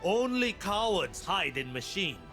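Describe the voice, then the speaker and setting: An actor playing a stern, wise blind warrior monk in a video game, speaking in solemn, measured aphorisms with dry humor. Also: male voice, high voice, sing-song, over music. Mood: stern, resolute.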